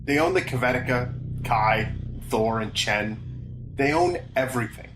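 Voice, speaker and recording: A woman's voice: a bass voice, expressive, a little slow, over music. A woman playing a stern, unyielding corporate security officer in a science fiction audio drama, curt and commanding. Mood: hard, insistent. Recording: noisy, echoing room sound.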